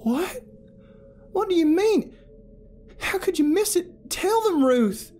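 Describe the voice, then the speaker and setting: A woman's voice: expressive, takes it slowly, dark tone, slight background noise, full character voice. A man voicing a defiant, frantic young woman who curses shrilly, over faint music. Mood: pleading. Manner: shrill and cursing.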